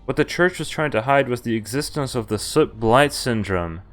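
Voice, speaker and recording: A man's voice: mid-range voice, expressive, normal speed, faint music behind. A man streaming a visual novel, reading the game text aloud and breaking off into casual asides and reactions. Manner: reacts with asides between read lines.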